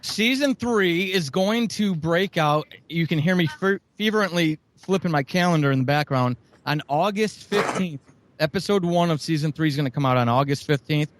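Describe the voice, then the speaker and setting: A man's voice: fairly high pitch, moderate pace. A man speaking as an interview guest, earnestly and emphatically promoting audio shows with effusive enthusiasm. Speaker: guest, audio drama producer.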